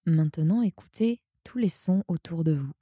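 A woman guiding a sleep meditation in a soothing, hushed, breathy manner that often nears a whisper. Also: fairly low pitch, animated, normal speed, no echo, clean studio sound. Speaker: yoga nidra guide and podcast host.

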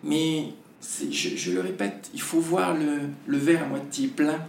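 A hoarse man, a podcast guest, talking in a halting way with many restarts. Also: a little high, lively intonation, roomy sound, slight background noise. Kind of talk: casual conversation.

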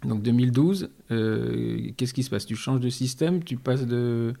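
Gravelly, warm-toned male interviewer. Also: medium pitch, a little slow. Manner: searching questions with fillers. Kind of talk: conversational interview.

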